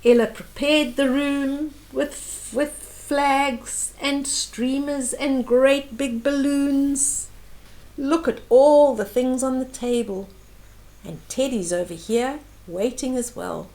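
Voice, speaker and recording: An older woman with a high voice tells a picture story to young children. She takes it slowly, in simple phrases with pauses, and speaks straight to her little listeners in an expressive way. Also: poor audio. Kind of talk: reading and retelling a picture book to young children.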